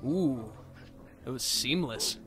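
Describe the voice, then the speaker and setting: A man's voice: mid-range voice, animated, takes it slowly, a bit bright, soft background music. A man co-commentating on a video game with playful, teasing casual banter. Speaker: let's play co-commentator.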